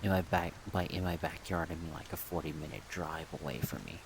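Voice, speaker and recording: Male, deep and unhurried, soft-spoken casual ASMR rambler, rain-like noise behind the voice. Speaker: ASMR creator rambling about a project. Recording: steady rain-like background noise.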